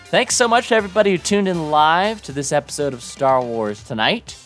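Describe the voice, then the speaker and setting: A young man hosting a live podcast, slightly hoarse, talking casually and upbeat with lots of fillers and restarts. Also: on the high side, lively intonation, fairly quick, fairly bright tone, faint music behind. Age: young adult. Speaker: podcast host.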